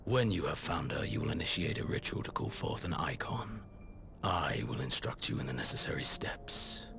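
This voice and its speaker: A commanding, calculating lord of an empire for a fantasy game. His voice is extremely low and gravelly, with formal, unhurried diction. Prominent music plays behind him. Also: exaggerated voice. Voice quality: very deep, gravelly, breathy at phrase ends. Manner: measured, imperious, formal diction. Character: cold, commanding, calculating imperial lord, grown man. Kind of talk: dramatic video game cutscene acting. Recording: loud music under the voice.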